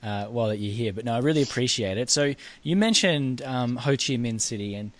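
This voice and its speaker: A man's voice: medium pitch, expressive, fairly quick, crowd noise. A man hosting a podcast, chatty and friendly as he interviews his guest. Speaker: podcast host.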